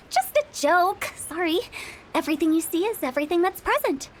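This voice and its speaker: Cheeky young funeral director girl, very high voice, playful and a bit spooky, faint hiss behind. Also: wildly swooping pitch, moderate pace, heavily acted. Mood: teasing. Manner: playful, teasing, with sudden spooky asides. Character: mischievous young female funeral parlor director. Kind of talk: video game voice acting.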